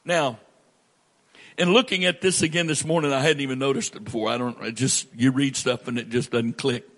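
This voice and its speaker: A male pastor preaching a sermon in a church, reading scripture aloud and then explaining it in a measured, emphatic way with pauses. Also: mid-range voice, steady tone, fairly bright tone.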